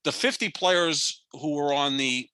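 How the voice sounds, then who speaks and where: A man's voice: a little high, a little slow, bright, forceful tone, smooth, dry recording. A man in his fifties co-hosting a sports podcast, giving opinionated, rambling takes in casual debate and often restarting his sentences. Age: 50s. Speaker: podcast co-host.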